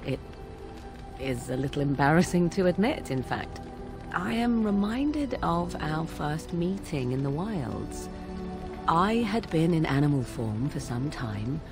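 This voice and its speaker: An actress voicing a proud, guarded young witch in a video game, with formal, archaic, measured speech. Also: deep voice, heavily acted. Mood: guarded, hesitant. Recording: music underneath. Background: music.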